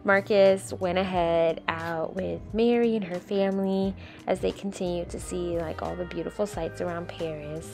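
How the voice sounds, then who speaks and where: A woman's voice: lowish voice, creaky. A woman in her twenties vlogging casually, slightly nasal from a cold, full of "like" fillers, filming at arm's length. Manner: chatty, many "like" fillers. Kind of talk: casual travel vlog. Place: hotel room and shop, indoors. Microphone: handheld camera at arm's length.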